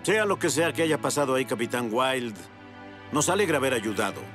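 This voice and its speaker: A man playing a steady, serious character in a Spanish cartoon dub, speaking in an earnest, measured way. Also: mid-range voice, soft background music, natural voice. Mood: courteous.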